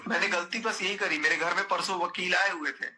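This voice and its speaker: A man's voice: high-pitched, steady tone, brisk, pushed and bright. A man in a personal phone conversation, speaking insistently and repeating his phrases. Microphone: phone call. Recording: recorded phone call with faint noise.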